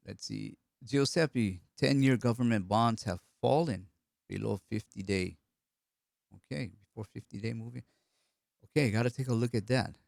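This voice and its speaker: A man's voice: mid-range voice, gravelly, a little mellow, no echo, clean studio sound. A middle-aged man from Hawaii with a cold, hosting a live stream, chatting loosely and answering viewer comments while he repeats his words. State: hoarse with a cold.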